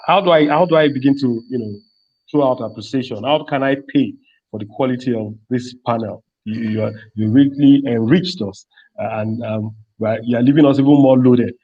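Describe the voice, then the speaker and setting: Male summit host, Nigerian accent, mellow tone, halting but flowery gratitude, muffled lo-fi call sound. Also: medium pitch. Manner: effusive, grandiloquent, halting. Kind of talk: speech of thanks. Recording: dull, lo-fi online call audio.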